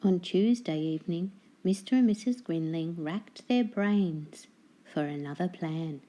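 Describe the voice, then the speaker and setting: A woman reading a children's storybook aloud as narrator, with deliberate, dramatic phrasing. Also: lowish voice, dark tone.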